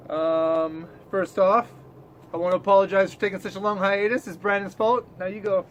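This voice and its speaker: A man's voice: high voice, flat delivery, moderate pace, a little hiss or hum. A man co-hosting a comedic news show in loose, profane banter with a mock-serious manner.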